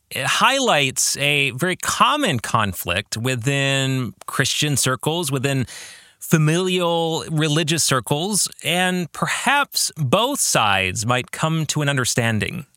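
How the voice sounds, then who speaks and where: A man hosting a podcast, giving reflective book club commentary in a deliberate way and putting rhetorical questions to the listener. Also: mid-range voice, pushed and bright, dry recording, studio quality. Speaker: podcast host and author.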